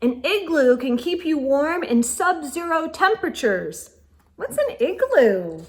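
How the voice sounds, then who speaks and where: Slow-paced, higher-voiced woman reading to children. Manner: expressive, gasps and whispered asides. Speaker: children's read-aloud storyteller. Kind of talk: animated picture-book read-aloud with asides to children.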